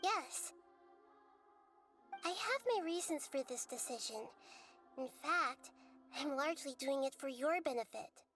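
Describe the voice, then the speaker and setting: A woman voicing a gentle, wise young girl deity in a video game, speaking in a measured, articulate, earnest way. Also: high voice, expressive, over music. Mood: calm, explanatory. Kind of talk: scripted video game voice acting.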